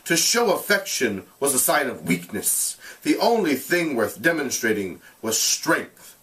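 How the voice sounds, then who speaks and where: A man narrates in an expressive read-aloud style, his pitch moving widely around a middling register. He speaks deliberately, like a teacher explaining a text. The microphone sounds a little way off from him. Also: far from the mic, poor audio. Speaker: teacher reading a novel aloud.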